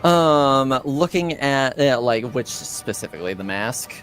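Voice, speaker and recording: A man running a tabletop roleplaying game as game master, narrating in a casual, halting way. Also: mid-range voice, animated, fairly bright tone. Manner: halting, hesitant, casual delivery. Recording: music behind the voice. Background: music.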